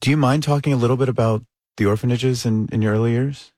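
A man hosting an interview, asking gentle questions in a halting, tentative way. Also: mid-range voice, fairly even intonation, no echo, clean studio sound. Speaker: interviewer, sports show host.

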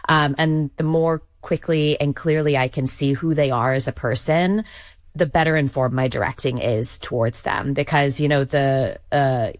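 A woman with a strikingly deep voice talks earnestly about directing storytellers, pausing often to think. The sound is close and dry, with no room around it. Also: dry recording. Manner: earnest, thoughtful, many "um"s. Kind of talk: panel discussion.

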